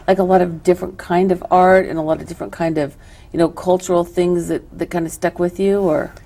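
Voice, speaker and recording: Mellow-voiced chatty woman host. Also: lowish voice, steady tone, fairly quick. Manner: rambling, chatty questions with many "you know"s. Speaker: TV show host. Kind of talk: casual interview. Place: local cable TV studio.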